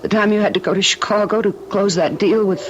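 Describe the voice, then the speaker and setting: An actress in an old-time radio drama playing a frightened but stubborn wife, with a halting, haunted delivery. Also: female voice, medium pitch, fairly even intonation, close to an everyday voice. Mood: accusing, determined. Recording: dull, noisy old radio recording.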